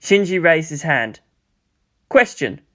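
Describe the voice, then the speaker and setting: A man's voice: on the high side, animated, moderate pace. A man reading a scripted story aloud as narrator. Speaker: amateur audiobook reader.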